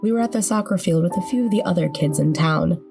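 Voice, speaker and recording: A woman with a fairly low voice reads scripted narration in a storytelling style. Music plays clearly behind her. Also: quick pace.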